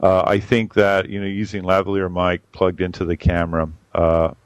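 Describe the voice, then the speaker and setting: A man with a boomy voice giving a rambling, casual camera review full of fillers and restarts. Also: monotone, moderate pace, smooth, no room sound, dull audio. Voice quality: boomy on a mic. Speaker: photography podcast regular reviewing cameras.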